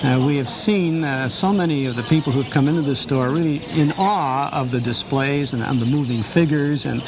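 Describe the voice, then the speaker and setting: A man's voice: medium pitch, normal speed. A man hosting a television show on location and interviewing a guest inside a store. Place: inside a Christmas store in a shopping center. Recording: noisy on-location 1980s TV sound.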